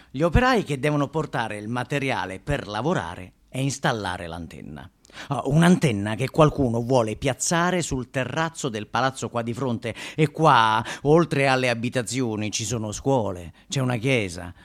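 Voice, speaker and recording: A man's voice: mid-range voice, natural voice. Chatty bar-owner character played by a man. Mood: explanatory, indignant. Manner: chatty, addresses listeners, rhetorical questions and colloquial asides. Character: folksy, chatty male bar owner bringing coffee to protesters. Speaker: stage actor performing a monologue. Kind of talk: theatrical monologue in conversational storytelling.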